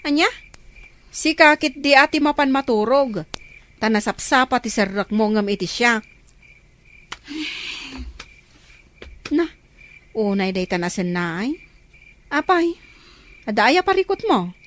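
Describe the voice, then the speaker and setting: Woman as older sister, high voice, concerned and scolding, Filipino accent, audio drama. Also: expressive, unhurried, pauses often, fairly bright tone. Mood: annoyed, questioning.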